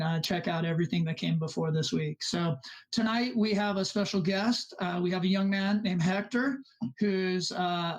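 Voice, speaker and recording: A man's voice: high voice, rough recording. A man hosting an online interview, chatty and rambling as he introduces his guest. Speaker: host, robotics club teacher.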